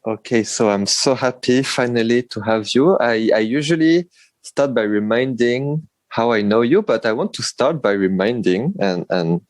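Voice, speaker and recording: A man hosts a podcast and rambles through his welcome, restarting and laughing as he goes. His voice sits at a middling pitch. The sound is dry, like a video call. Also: normal speed, no echo. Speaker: podcast host. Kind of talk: casual interview chat. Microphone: remote video call.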